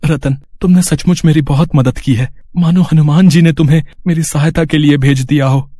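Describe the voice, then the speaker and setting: A man playing a timid, humble common man in an animated moral story, earnest and plaintive. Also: on the high side, moderate pace, dry recording, natural voice. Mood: grateful, reverent.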